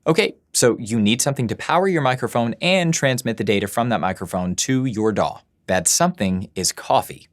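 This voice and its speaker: A man's voice: medium pitch, expressive, a little fast, few pauses. A man who runs a voice-over studio, giving a chatty, self-deprecating tutorial from his studio at work. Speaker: voice-over studio owner and teacher. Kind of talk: gear tutorial video.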